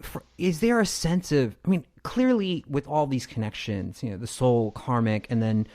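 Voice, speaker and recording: A man's voice: medium pitch, normal speed, a little mellow. A man hosting an interview in a conversational style, reflective and often searching for his words. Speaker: podcast host, interviewer.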